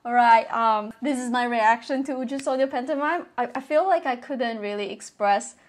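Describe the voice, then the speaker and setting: High-voiced young woman reacting excitedly. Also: brisk. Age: young adult. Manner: expressive, exclaiming in awe. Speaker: reaction video host. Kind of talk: casual excited reaction commentary. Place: small bedroom, indoors. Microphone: clip-on lapel mic.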